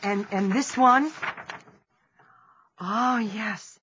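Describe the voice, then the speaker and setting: An actress playing a gentle, encouraging mother in an audio drama. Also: medium pitch, drawn-out words, a little hiss or hum. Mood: caring. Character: caring middle-aged mother, gentle and supportive. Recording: dull lo-fi audio with music.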